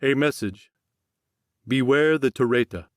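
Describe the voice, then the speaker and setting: A man's voice: mid-range voice, measured pace, no echo, clean studio sound, voice pushed up, natural voice. A man voicing a guarded, pained mission overseer in an audiobook, explaining himself tersely and defensively. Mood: guarded, strained. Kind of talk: audiobook character acting.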